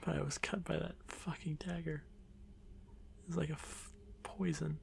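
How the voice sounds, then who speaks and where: A man voicing a shy, haunted drider monster boy in an ASMR fantasy roleplay, stammering softly and often slipping into breathy whispers. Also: a little high, level tone, moderate pace, a little hiss or hum. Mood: tearful, ashamed. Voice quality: soft, breathy, creaky.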